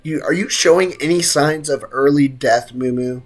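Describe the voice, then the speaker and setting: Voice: medium pitch, normal speed, faint music behind. A game streamer chatting casually with live viewers while reacting to a game, teasing and slangy. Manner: teasing, slangy banter with chat.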